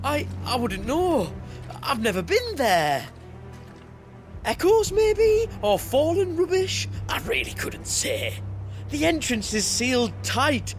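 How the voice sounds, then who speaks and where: A glib swindler of a merchant in a fantasy game. He is high-pitched and swings widely in tone, drawing words out with theatrical politeness over faint music. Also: wildly swooping pitch, takes it slowly, exaggerated voice. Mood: evasive. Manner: theatrical, mock-courteous. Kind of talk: fantasy video game voice acting.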